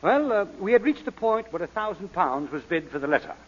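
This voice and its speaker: Husky, dry gentleman crook. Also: sits high, a little fast. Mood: playfully provoking. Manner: deadpan, mock-solemn. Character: dry, gallant gentleman crook, drily amused and loyal accomplice. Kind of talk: 1940s radio drama acting. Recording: echoey, dull old radio recording.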